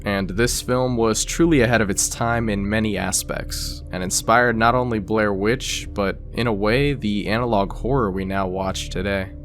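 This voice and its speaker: A man with a fairly low voice narrates a scripted video explainer. He talks the listener through it conversationally, slipping in casual asides. Soft music plays underneath. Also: fairly even intonation, moderate pace, few pauses. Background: background music.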